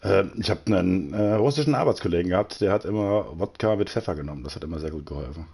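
A man's voice: low-pitched, lively intonation, fast, gravelly, darkish tone, slightly rough. Chatty man calling sim races. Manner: chatty, joking, scanning standings aloud. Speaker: race commentator. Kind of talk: live sim racing commentary.

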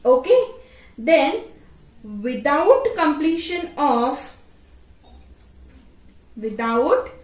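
Female tutorial presenter, very unhurried delivery with repeated phrases, recorded in an echoey room away from the mic. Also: high-pitched. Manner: repeats phrases, adds checking tags. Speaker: teacher. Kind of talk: explanatory tutorial lecture. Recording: roomy echo, distant microphone.

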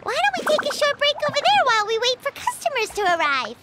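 A woman voicing an excitable, self-important childlike fairy companion in a video game, whining and exclaiming. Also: very high-pitched, quick pace, over music, heavily acted. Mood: chirpy. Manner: refers to herself in third person, whiny, exclaiming.

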